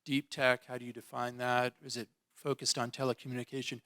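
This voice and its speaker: Flat-voiced man asking questions. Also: medium pitch, fairly quick. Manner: polite, asks questions in a run. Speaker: audience questioner. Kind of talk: press conference question.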